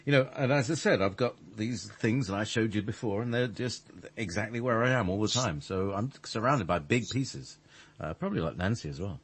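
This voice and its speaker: Husky, low-voiced man rambling on air. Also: animated, brisk. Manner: hesitant, many restarts and fillers. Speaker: radio show guest. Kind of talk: rambling conversational chat.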